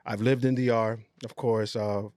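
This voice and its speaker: A man, a guest on a casual podcast, relaxed and slangy, often trailing off quietly. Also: mid-range voice, normal speed, clear, resonant tone, dry recording, studio quality. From New York.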